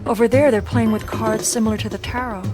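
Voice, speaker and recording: An actress playing a curious, wary woman adventurer in a radio drama, musing in an understated, measured way. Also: fairly high pitch, steady tone, close to an everyday voice. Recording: loud music behind the voice.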